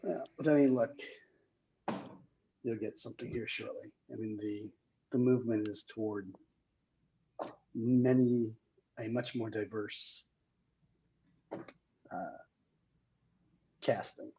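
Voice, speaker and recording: A screenwriter hosting casually, talking in a hesitant, rambling way with a husky, gravelly voice over a dull call recording. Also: medium pitch, takes it slowly, long pauses, warm tone. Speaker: screenwriter and table read host. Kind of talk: casual chat.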